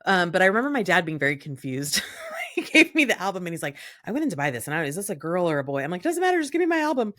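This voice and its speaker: A woman in her early fifties chatting casually and bantering as a podcast co-host, animated and playfully stubborn. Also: fairly low pitch, rapid-fire, dry recording, studio quality. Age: early 50s.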